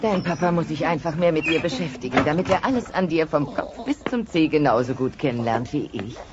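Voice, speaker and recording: A woman's voice: low voice, lively intonation, fairly quick. A woman voicing a caring but long-suffering dinosaur mother in a cartoon audio play. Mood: concerned. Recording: dull, noisy cassette transfer.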